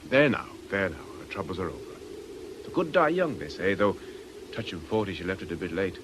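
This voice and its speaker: A slippery British villain in a radio play. The voice is lowish but swoops expressively, glib and mocking in its false piety, over faint recording noise. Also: male voice, animated, brisk. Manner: glib, mocking, mock-genteel. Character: slippery, sardonic male club crook, mock-pious villain. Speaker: radio actor. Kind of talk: radio drama acting.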